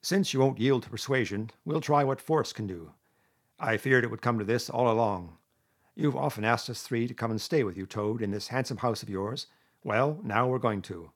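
A man's voice: medium pitch, moderate pace, natural voice. A man voicing a stern, fatherly badger in a storybook, speaking in a solemn, firm, oratorical manner. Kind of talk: storybook character reading.

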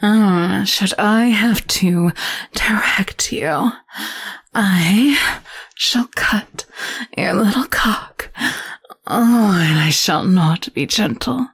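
A woman playing a cruel, seductive captor with a knife, talking in a slow, teasing, mocking way that often drops to a whisper. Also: mid-range voice, slightly rough. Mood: menacing. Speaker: audio roleplay performer. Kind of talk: intimate audio roleplay acting.

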